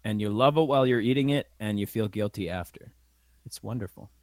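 Man, podcast co-host, casual playful chat, lowish voice, dry sound. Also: a little fast, a little mellow, no echo.

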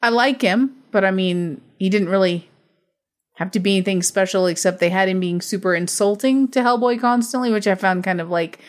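A young American woman co-hosting a casual film review chat, easygoing and laid-back, quick to disagree. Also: medium pitch. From United States. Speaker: podcast co-host.

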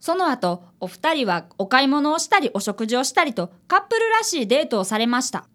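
ラジオドラマで、丁寧な口調の真面目な少年探偵見習いを演じる女性。. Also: female voice, high voice, sing-song, a little fast. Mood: earnest narrating. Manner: polite, deferential speech. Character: polite, earnest young boy, apprentice detective. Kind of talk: radio drama acting and narration.

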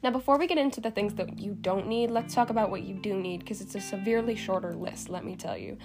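A woman's voice: mid-range voice, fast. A woman hosting a solo podcast, talking in a casual, chatty, informal way with frequent filler words. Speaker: podcast host. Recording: loud guitar music behind the voice. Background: plucked guitar music.

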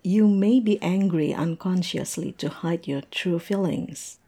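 A woman playing a tipsy, observant coworker in a conversational audio roleplay, musing and teasing in a halting way. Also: fairly low pitch, mellow. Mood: reflective. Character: tipsy, observant young woman chatting with coworkers over drinks.

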